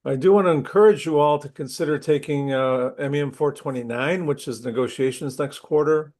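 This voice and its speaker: A man's voice: on the high side, a little fast, darkish tone. Man with a cold, chatty instructor. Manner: conversational, clears throat often, restarts sentences. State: losing his voice from a cold. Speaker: university instructor. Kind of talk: opening a class session online. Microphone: computer mic on a video call.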